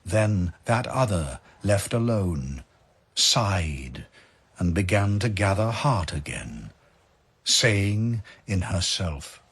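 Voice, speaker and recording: Slow, husky male narrator. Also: low-pitched, very animated, fairly bright tone. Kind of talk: dramatic verse storytelling, scripted narration. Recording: music behind the voice. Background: music.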